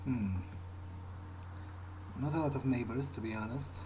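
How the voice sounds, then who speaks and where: A man role-playing a wary, pragmatic vampire in a video game, thinking aloud in a halting, understated way. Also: mid-range voice, noisy background, natural voice. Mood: musing, dry. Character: male vampire musing to himself, wary, pragmatic. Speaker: gamer playing in character. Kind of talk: improvised in-character game monologue.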